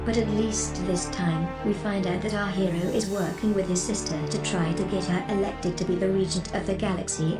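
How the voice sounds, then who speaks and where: A woman narrating a scripted episode recap as the show's narrator. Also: medium pitch. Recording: roomy echo under loud music. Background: loud music.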